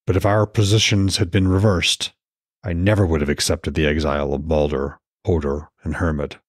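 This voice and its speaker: A man voicing a weary, brooding Norse god in a fantasy audiobook, speaking in a grave, regretful, measured way. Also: rumbling low, flat delivery, no echo, clean studio sound, pitched below the performer's own voice. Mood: grave, guarded.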